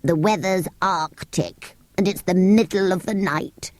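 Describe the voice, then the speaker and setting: A woman voicing a grumpy, ancient, sleepy sand fairy in a storybook reading, speaking low and drowsily. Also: a little slow, dry recording, natural voice. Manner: cross, grumbling, indulgent. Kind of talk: audiobook character voice.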